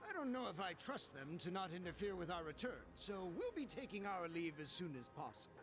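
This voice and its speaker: An actor playing a polished, courteous diplomat in a video game, formal and articulate. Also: male voice, high voice, big pitch swings, fairly quick, music in the background. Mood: composed. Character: polished, courteous male delegate, coolly diplomatic.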